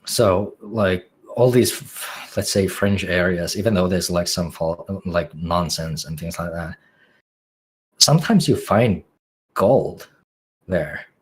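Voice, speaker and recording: Deep-voiced man with a Finnish accent. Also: takes it slowly. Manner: musing, searching for words with many fillers and restarts. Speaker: podcast guest, music producer. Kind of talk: reflective podcast interview answers.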